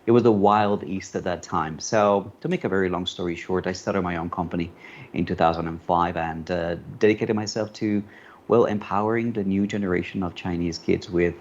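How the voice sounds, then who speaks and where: A middle-aged man from Colombia, a native Spanish speaker, telling his story in a relaxed, rambling way with many fillers. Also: low-pitched, fairly quick. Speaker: panel guest, media commentator. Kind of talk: casual conversational storytelling. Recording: dull lo-fi call audio with faint noise.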